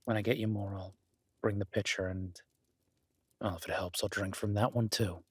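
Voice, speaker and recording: A man playing a gruff, skeptical but caring cabin dweller in an intimate audio roleplay, blunt and sweary yet grudgingly reassuring. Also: fairly low pitch, fairly even intonation, normal speed. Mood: grudging patience. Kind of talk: immersive audio roleplay, intimate naturalistic acting.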